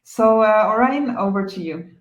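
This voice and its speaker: A woman with a German accent opens and steers an online training session, friendly and organized. Her middle-pitched voice carries some room echo over the video call, and small fillers dot her speech. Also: moderate pace, dull audio. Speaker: product marketing host. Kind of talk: webinar presenting. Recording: echoey room.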